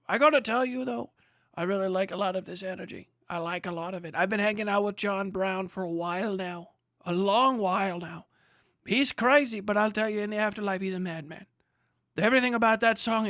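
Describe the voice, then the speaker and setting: A man plays a folksy ghost of a long-dead president, high and bright in voice. He rambles mildly and easily in old-fashioned storyteller phrases, like a hipster from another century. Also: dry recording, clean studio sound. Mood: pleased, easygoing, then fondly amused.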